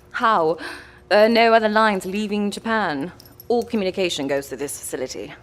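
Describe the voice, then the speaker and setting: Wry married woman played by an actress, medium pitch, intimate and flirtatious, with a cautious streak. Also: normal speed, lightly acted.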